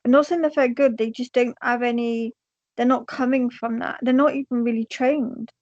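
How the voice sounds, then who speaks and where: Flat-voiced woman on a lo-fi call. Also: a little high, normal speed, dry recording. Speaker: webinar participant. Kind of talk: casual remarks on a video call.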